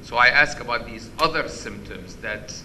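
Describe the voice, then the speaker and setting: A man with a loud voice giving an interactive medical lecture, quizzing the audience and restarting his sentences often. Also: mid-range voice, moderate pace, slight background noise. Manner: questions the audience, many restarts. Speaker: physician lecturer. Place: conference hall.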